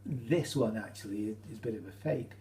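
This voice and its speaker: A British man giving an informal, halting how-to demonstration, explaining each step as he goes. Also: fairly low pitch, dark tone. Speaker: hobbyist demonstrator. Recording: roomy echo, faint hum.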